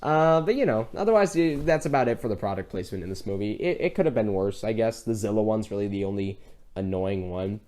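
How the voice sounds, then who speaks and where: A man hosting a casual review discussion, talking in a rambling, halting way that circles back mid-sentence. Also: on the low side, lively intonation, a little mellow. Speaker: podcast host.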